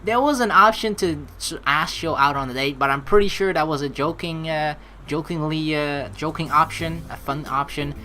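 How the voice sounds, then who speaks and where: A woman hosting a let's play, reading story narration aloud and reacting with animated, excitable commentary. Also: deep voice, moderate pace, hardly any pauses.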